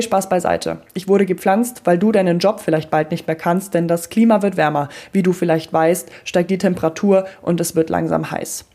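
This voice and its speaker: A woman's voice: on the low side, mellow, lightly acted. A woman voicing a cheeky, blunt male mulberry tree who talks back sarcastically. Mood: explaining. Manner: snappy, sarcastic.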